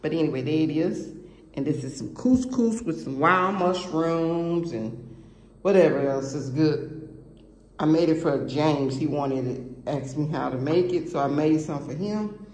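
A woman's voice: deep voice, measured pace, darkish tone. A woman chatting casually to her viewers from behind the camera in her home kitchen, rambling through what she cooked. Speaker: cooking vlogger. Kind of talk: casual cooking vlog chat. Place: home kitchen table, indoors. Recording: echoey room with faint hiss.

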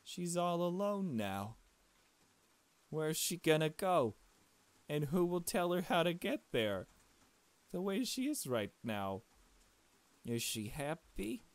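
A man puts on a grieving mother from a visual novel, lifted high above his usual pitch. She is soft-mannered and formal, with a mournful politeness in her delivery. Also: big pitch swings, takes it slowly, long pauses, no echo.

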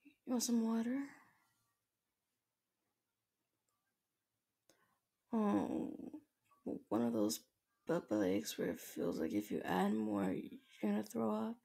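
A woman plays a tender, doting girlfriend who gently takes charge in an ASMR roleplay. Her voice is soft, dark and whispery, with heavy creak, and she babies the listener at a very unhurried pace. It is spoken close to the microphone. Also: mid-range voice, wildly swooping pitch, exaggerated voice. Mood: caring, gentle. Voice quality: soft, breathy, often whispering. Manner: slow, gentle, babying. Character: tender, doting dominant girlfriend comforting her sick partner.

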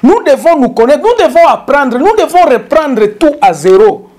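A man's voice: very high-pitched, big pitch swings, normal speed, a little mellow. A middle-aged man, hosting a solo political commentary to camera in a small studio, talking emphatically and repeating words as he searches for them. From DR Congo. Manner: emphatic, gesturing, stammering repetitions. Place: small studio with dark backdrop.

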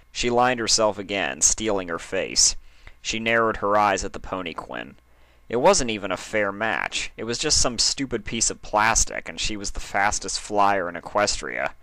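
A man narrates fiction from a script. His voice is low but bright and crisp-edged, and the recording is dry, with very little room sound. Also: no echo, low-quality recording. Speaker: fan fiction reader, narrator.

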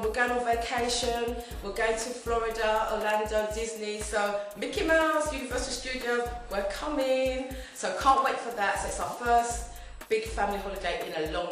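A woman, around her forties, chatting to camera in a rambling, chatty way, her voice a little hoarse from a throat infection. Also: medium pitch. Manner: chatty and rambling, lively gestures. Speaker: wig review vlogger. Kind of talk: casual chat to camera. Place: home room indoors. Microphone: camera at mid distance. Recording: very echoey room with faint music.

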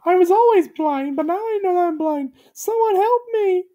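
A woman voicing a small, frightened clay boy in a high, squeaky put-on voice. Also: lively intonation, normal speed, mellow, muffled recording. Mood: despairing.

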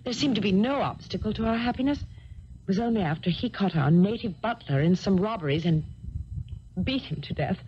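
A woman acting in a 1970s radio drama, playing a distressed, earnest young English heiress with formal, halting phrasing. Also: mid-range voice, lively intonation, a little mellow, lightly acted. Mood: grieving, troubled. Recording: dull noisy old radio recording.

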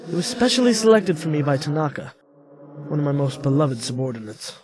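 Florid, high-pitched gentleman ghost. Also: big pitch swings, fairly quick, no echo. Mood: grateful, solemn. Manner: florid, formal, grandiose. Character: genteel, grateful, theatrical gentleman ghost. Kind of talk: theatrical video game dub acting.

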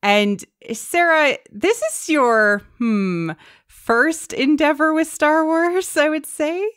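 A woman's voice: high voice, slow, a bit bright, no echo, clean studio sound. A woman hosting a podcast, interviewing a guest in a conversational way. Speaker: podcast host.

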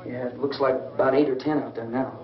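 A man's voice: medium pitch, steady tone, a little fast, noisy background, lightly acted. An actor playing an earnest, reasonable young American man in an old horror film, a polite, pleading peacemaker. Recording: echoey, dull old film soundtrack, distant.

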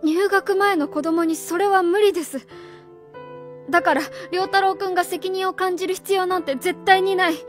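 A woman's voice: very high-pitched, fairly even intonation, moderate pace. A woman playing an earnest, easily flustered schoolteacher in a radio drama, speaking emphatically and stammering when challenged. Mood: concerned. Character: earnest, flustered female schoolteacher defending herself. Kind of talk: Japanese radio drama acting. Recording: music behind the voice.

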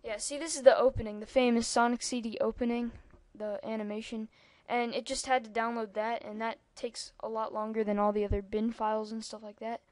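A woman's voice: fairly high pitch, level tone, brisk, few pauses, a little mellow, no room sound. A young-sounding female speaker giving a rambling, casual computer tutorial over a screen recording, with lots of ums and asides. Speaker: tutorial maker.

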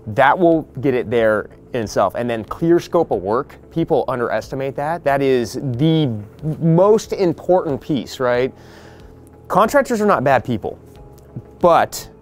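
A man, walking a group through a house he is flipping outdoors on a porch, talking bluntly and practically. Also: medium pitch. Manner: blunt, practical, quoting others in quick asides. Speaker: real estate investor leading a property walkthrough. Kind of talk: casual explaining to a group on site.

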